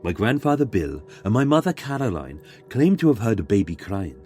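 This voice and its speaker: A man reading a scripted ghost story in a measured, dramatic way, pausing for suspense. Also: fairly low pitch, big pitch swings, mellow, soft background music. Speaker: guest narrator reading a submitted ghost story.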